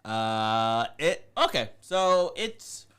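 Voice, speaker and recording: A man's voice: medium pitch, big pitch swings, drawn-out words, fairly bright tone, clear, resonant tone. A man co-hosting a podcast in casual banter, teasing and sarcastic. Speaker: podcast co-host.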